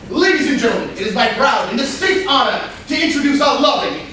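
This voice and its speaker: A man acts a formal, ceremonious servant in a stage comedy, pitched high for a man. He is pompous and precise. The sound is very echoey and distant. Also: expressive, fairly quick, bright, forceful tone, full character voice. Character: formal, pompous servant announcing a murder game. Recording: very echoey room, distant mic, faint noise.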